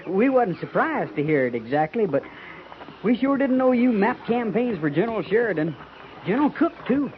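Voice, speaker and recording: An actor playing an eager, admiring young cavalry trooper with an earnest, rustic way of talking, in 1950s radio drama style. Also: male voice, high-pitched, big pitch swings, normal speed. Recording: muffled 1950s radio broadcast, faint hiss.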